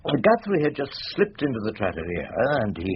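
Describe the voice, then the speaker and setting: A man's voice: mid-range voice, wildly swooping pitch, brisk, highs cut off, a little hiss or hum. An actor playing a wily older British male barrister in a radio drama, with a wry, courtly, rhetorical manner. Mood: smoothly persuasive.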